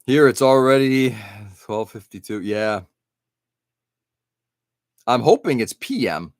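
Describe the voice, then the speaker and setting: A man's voice: medium pitch, animated, slow pace, dry recording, clean studio sound. A middle-aged man hosting an early-morning live stream, rambling casually and answering viewers' chat comments.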